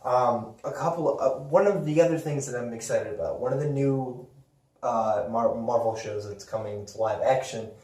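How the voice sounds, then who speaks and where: A man talks some way from the microphone in a roomy, echoing space. He sounds like an excitable show host in a casual fan chat, informal and emphatic, with a medium-pitched voice. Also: moderate pace. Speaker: host of a pop culture video show. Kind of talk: casual enthusiastic fan discussion. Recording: roomy echo, distant mic.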